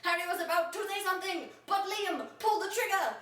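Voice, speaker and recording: Female amateur story reader, high voice, mock-dramatic delivery, roomy echoing sound. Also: fast talker, fairly bright tone. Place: echoey room, away from the mic. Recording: roomy echo, distant from microphone.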